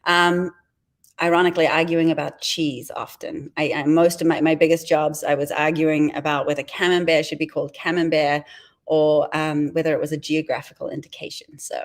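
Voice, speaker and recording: A woman's voice: sits low, little pitch movement, normal speed. A woman from New Zealand with a New Zealand accent, a guest author chatting casually on a video call with many ums and restarts.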